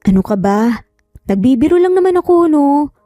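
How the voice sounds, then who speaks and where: A woman acting a caring, teasing young mother, soft-toned. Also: high-pitched, big pitch swings, moderate pace, dry recording, clean studio sound, soft background music, pitched above the performer's own voice. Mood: teasing, friendly.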